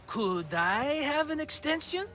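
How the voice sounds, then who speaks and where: A shifty schemer for a video game. His voice rides very high and swings wildly, slow and drawn out as he wheedles and sells with grand flourish. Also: very animated, bright, forceful tone, soft background music, heavily acted. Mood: nervous, placating. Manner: wheedling, grandiose, salesman-like patter.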